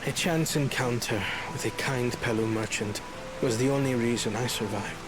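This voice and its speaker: An actor playing a young catfolk prince, a protective brother who is earnest and hot-tempered, speaking in a formal, measured, dramatic way for a video game. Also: male voice, medium pitch, over music, natural voice, low-quality recording. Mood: sorrowful, wistful.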